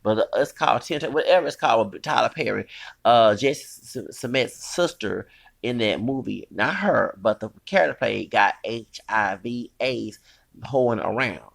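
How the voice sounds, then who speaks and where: A man's voice: mid-range voice, expressive, normal speed, vocal fry. A man, ranting in a heated, rambling commentary and scolding his critics with curses. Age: 40s.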